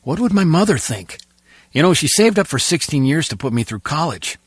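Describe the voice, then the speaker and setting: A man's voice: fairly high pitch, very animated, fairly quick, breathy edge, dry recording, clean studio sound. An actor playing a gabby, opinionated henchman guard in comic video game banter. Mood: chatty.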